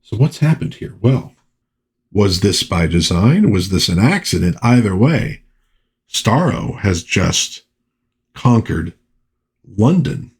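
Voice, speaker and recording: A man hosting a casual review, talking in a halting, musing way with pauses and repeated phrases. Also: on the low side, big pitch swings, a little mellow, husky. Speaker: host of a comic book review series.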